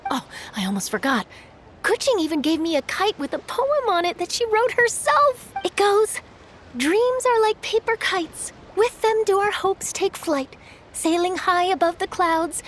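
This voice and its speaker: An actress playing a cheerful, excitable young woman journalist who chats eagerly, with soft game music behind. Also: very high-pitched. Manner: excitable, chatty, bubbly.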